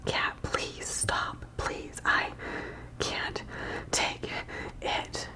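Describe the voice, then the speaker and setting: A woman voicing a teasing, excitable prankster boy in a breathy whispered storybook reading. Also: drawn-out words. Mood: pleading.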